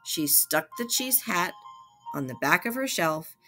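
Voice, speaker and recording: A woman narrating a children's picture book aloud, expressive and rhythmic like a librarian reading to students. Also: fairly low pitch, a little slow, faint music behind. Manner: expressive, rhythmic rhyming delivery.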